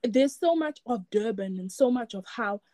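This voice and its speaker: A woman's voice: on the high side, lively intonation, normal speed, dark tone, no echo, clean studio sound. A woman from Africa, reflecting in a casual chat and often repeating words as she searches for them. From South Africa.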